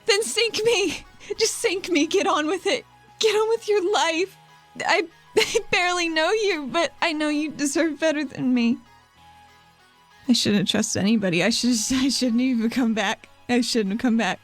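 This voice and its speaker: A woman's voice: sits high, measured pace, faint music behind. A woman voicing an anguished, self-blaming woman in a tabletop game, stammering and pleading. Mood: despairing, pleading. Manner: stammering, emotional.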